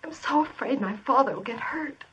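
An actress playing an anxious, earnest young woman in a vintage radio drama, her voice husky and breathy. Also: high voice, animated, moderate pace, muffled recording, background noise. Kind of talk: 1950s radio drama acting. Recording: distant vintage radio recording.

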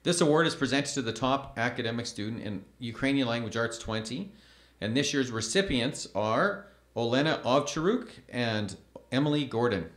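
A man in his thirties reading school award announcements from a podium microphone in a measured, formal way. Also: medium pitch, expressive, fairly bright tone, roomy sound. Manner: measured, formal, reads names slowly. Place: school event stage at a podium.

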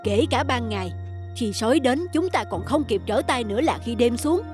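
A woman's voice: a little high, lively intonation, moderate pace, lightly acted. Worried, scolding mother donkey, played by a woman. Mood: scolding, anxious. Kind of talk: animated fairy-tale dubbing. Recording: loud music under the voice.

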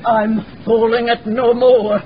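An actress playing an odd, fretful elderly Scottish housekeeper in a radio drama, speaking slow, quaint dialect. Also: female voice, a little high, little pitch movement. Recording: dull radio recording with hiss.

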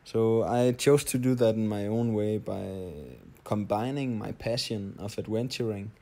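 A man in his late twenties from Denmark with a Danish accent, telling his story in a halting, hesitant audio-blog monologue. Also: fairly low pitch, fairly even intonation, dark tone. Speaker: traveler, audio blogger.

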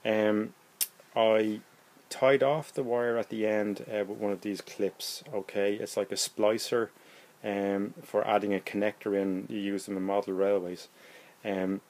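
A man's voice: fairly low pitch, flat delivery, measured pace, darkish tone. A man in his forties with an Irish accent, giving a casual, unscripted how-to explanation in a hesitant, plain-spoken way, recorded indoors on a phone. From Ireland. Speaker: hobbyist presenter. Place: indoors in a room at home.

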